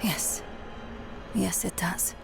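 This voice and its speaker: A woman playing a weary, resolute young adventurer in a fantasy game cutscene, with a measured, brooding, often hushed delivery. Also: in a whisper. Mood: guarded. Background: music.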